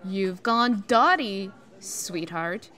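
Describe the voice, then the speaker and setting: Icy, slow-spoken scornful woman played by an actress. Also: medium pitch, expressive, bright, forceful tone, heavily acted. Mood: scornful, sarcastic. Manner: sarcastic, withering. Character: icy, venomous woman executive, scornful ex-wife. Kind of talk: comic dub voice acting.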